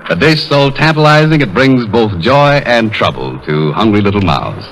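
A man's voice: fairly low pitch, sing-song, moderate pace. A man announcing on 1930s radio in a florid, theatrical, scripted style. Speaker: radio announcer, master of ceremonies. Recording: noisy old radio, live audience.

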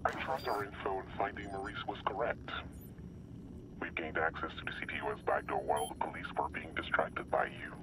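An actor playing a secretive, controlled male hacker ally in a game, talking in a measured, businesslike way over a phone. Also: extremely low pitch, steady tone, noisy background. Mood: cool. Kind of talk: game phone-call dialogue.

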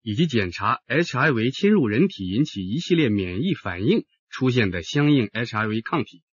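An actor in a radio drama playing a serious doctor who explains things methodically. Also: male voice, medium pitch, very animated, husky, dry recording, highs cut off. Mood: explanatory. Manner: explanatory, measured.

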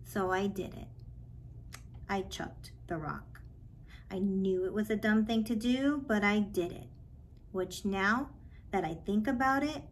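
A woman in her thirties reading a children's book aloud in a measured, expressive way, with pauses, in a small office. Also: medium pitch, slight background noise. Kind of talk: read-aloud storytelling.

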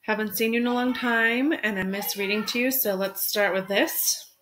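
A woman's voice: mid-range voice, fairly even intonation, moderate pace, a bit bright. A woman in her thirties, an elementary school teacher speaking expressively and simply to children, as when reading a picture book. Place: small room at home. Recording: home webcam recording with faint noise.

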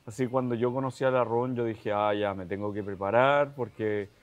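A man's voice: fairly low pitch, fairly even intonation, normal speed, muffled recording. A man in his thirties from Chile with a Chilean accent, rambling relaxedly with many fillers as a guest on a studio talk show. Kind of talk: casual chat. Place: TV studio set.

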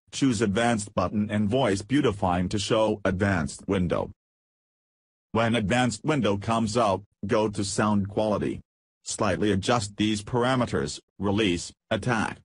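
A man reading a scripted, step-by-step software tutorial as a voice-over narrator. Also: lowish voice, a little slow, breathy edge, dry recording.

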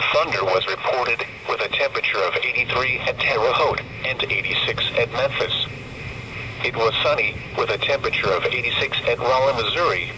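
A synthetic man's voice reading a scripted weather broadcast in a measured, formulaic way, heard through a radio. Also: mid-range voice. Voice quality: synthetic text-to-speech voice. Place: played over a handheld weather radio inside a car. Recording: noisy handheld radio speaker in a car.

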